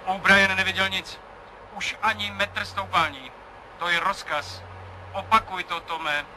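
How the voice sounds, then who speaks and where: A man's voice: high-pitched, fairly even intonation, bright, forceful tone, slight background noise, rough recording. An actor playing a curt, worried male flight controller in a 1970s radio play, giving terse orders in clipped phrases. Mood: tense, commanding.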